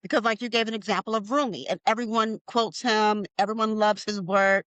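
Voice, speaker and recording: A woman's voice: mid-range voice, fairly even intonation, a little fast, fairly bright tone, no echo, poor audio. A woman interviewing a poet, asking questions in an encouraging way and laughing along with her guest. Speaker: interviewer, host.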